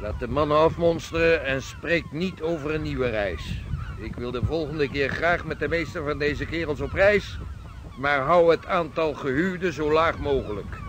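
An actor playing a driven, devout sixteenth-century ship's captain in a radio drama, speaking formally and with command. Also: male voice, fairly high pitch, normal speed, a bit bright, a little hiss or hum, natural voice. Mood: brisk, commanding.

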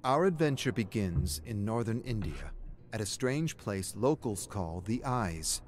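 A man's voice: mid-range voice, a little mellow, close to an everyday voice. Man voicing a theatrical, peppy female legend-hunter. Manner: forced energy, theatrical presenter delivery. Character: peppy young woman presenting spooky urban legend videos.

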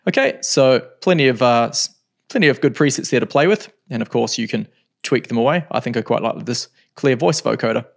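A man in his thirties teaching music software in a home studio, explaining calmly with frequent ums. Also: medium pitch, brisk, creaky. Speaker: music production tutor. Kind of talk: screen-recorded software tutorial.

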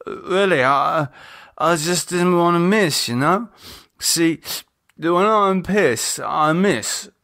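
A man voicing a drunken, confused and distraught man in an audiobook, slurred, halting and rambling. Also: a little high, sharp tone, no room sound, studio quality, voice pushed up, full character voice.

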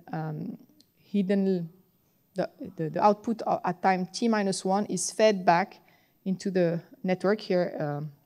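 French woman w french accent explaining technical stuff at a conference podium, medium pitch, kinda halting. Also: mellow. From France. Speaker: conference tutorial presenter. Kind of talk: technical lecture to an audience. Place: large conference hall, on stage at a podium.

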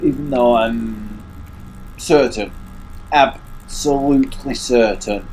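A man's voice: medium pitch, slow pace, darkish tone, a little hiss or hum, rough recording. An English man commentating a horror game, muttering and grumbling to himself, with a stuffy nose and hiccups. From England. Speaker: gaming YouTuber. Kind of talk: casual let's play commentary.

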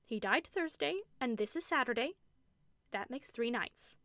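A woman's voice: high voice, lively intonation, normal speed, sharp tone, dry recording, voice pushed up. A woman voicing a stern, tightly self-controlled woman who speaks curtly and dryly. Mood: tense curt. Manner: curt, dry, rigid.

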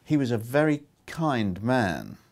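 A man's voice: mid-range voice, sing-song, slow pace. Man reading as an earnest, polite young woman. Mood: tender, hesitant. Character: earnest, polite young American woman, wartime ambulance driver. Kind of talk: audiobook-style character reading.

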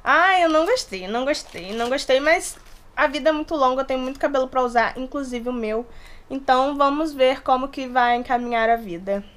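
A woman's voice: high voice, fairly quick. A woman in her late twenties from inland Rio de Janeiro state, with a Brazilian accent that mixes Rio, São Paulo and Minas. She chats playfully to the camera in her bedroom. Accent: Brazilian Portuguese, mix of Rio, São Paulo and Minas. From Resende, Rio de Janeiro state, Brazil. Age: 20s. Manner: chatty, playful, animated hand gestures. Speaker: YouTuber. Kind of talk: casual chat to camera. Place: small bedroom indoors. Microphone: camera close in front.

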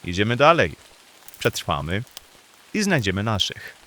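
An actor playing a calm, determined male draenei alchemist in a fantasy audio drama. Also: on the low side, unhurried, slight background noise, full character voice. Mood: calm determination.

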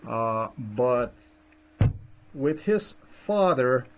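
A man's voice: medium pitch, lively intonation, takes it slowly. Hesitant man talking to his webcam. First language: not English. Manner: hesitant, many fillers and restarts. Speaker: vlogger. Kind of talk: rambling screen-share commentary. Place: small indoor room. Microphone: webcam at desk.

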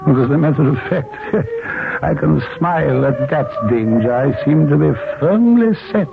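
A man's voice: on the high side, animated, unhurried, creaky. An actor playing a ruined, bitterly self-mocking old man in a 1940s radio drama, theatrically musing aloud to himself, on a muffled vintage broadcast recording. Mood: brooding.

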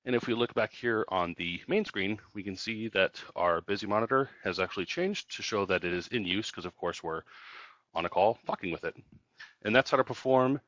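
A man with a low voice walks through a product demonstration in a casual, explanatory way. He goes step by step like a company trainer. The sound is dry and a little dull. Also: fairly quick, a bit bright, dry recording. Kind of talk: casual product tutorial narration.

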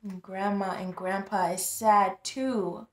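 A woman in her thirties reading a picture book aloud to young children as a tutor, expressive and deliberate, often prompting with questions, recorded in a small room. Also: medium pitch, reverberant. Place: small plain-walled room indoors.

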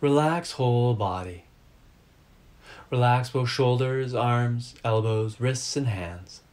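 A man teaches a guided meditation at a very slow pace. His voice sits at a medium pitch. He delivers short, measured instructions in a calm and deliberate way.

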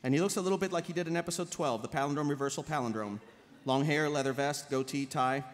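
A woman's voice: low voice, quick pace. A woman narrating stage directions from a script at a live table read. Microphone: table microphone on a panel. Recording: echoey convention hall, stage microphone.

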